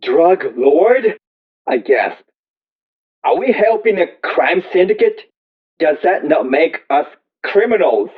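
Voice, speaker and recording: A man reading a first-person story aloud as scripted narration, in slow, deliberate, measured phrases. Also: sits high, big pitch swings, husky. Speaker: audiobook reader. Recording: thin, phone-like narrow-band audio.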